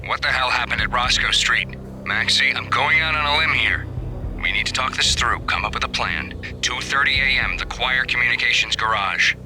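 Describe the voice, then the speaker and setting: A crooked cop with a very forceful, sharp edge at medium pitch. He is urgent and persuasive, a schemer in a crime game, with faint music behind him. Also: moderate pace, rough recording. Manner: persuasive, pressing. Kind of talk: video game message acting.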